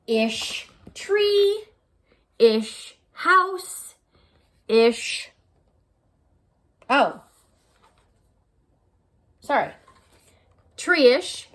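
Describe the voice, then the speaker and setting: Woman, high voice, slow deliberate pace, children's read-aloud, teacherly, gently questioning. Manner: gentle questioning, prompting listeners to think. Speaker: teacher reading a picture book aloud. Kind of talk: expressive children's read-aloud with asides to listeners.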